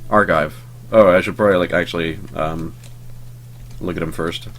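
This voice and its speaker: A man's voice: deep voice, fairly even intonation, moderate pace, a bit bright. A young man, just over a cold, casually talking through a game he is playing in a sleepy, rambling way with lots of fillers. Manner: rambling and sleepy, lots of ums, swears offhandedly. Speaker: let's play host. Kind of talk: casual gameplay commentary.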